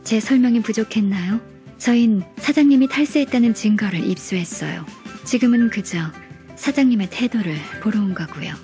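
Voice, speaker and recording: An actress playing a polite, composed senior official in a video game, courteous and firm in a measured, formal way. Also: female voice, on the high side, mellow, faint music behind, natural voice. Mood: calm, firm.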